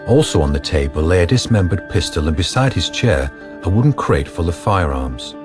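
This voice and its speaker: A man's voice: deep voice, sing-song, a little fast. A man narrating a scripted radio drama. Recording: prominent music behind narration.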